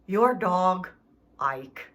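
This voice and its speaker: A woman's voice: on the low side, animated, very slow, a bit bright, slight background noise, voice pushed down, full character voice. A woman voicing an indignant, self-pitying male dog in a children's storybook, reading his letters with theatrical, aggrieved emphasis. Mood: defensive, snide. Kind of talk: expressive children's storybook read-aloud.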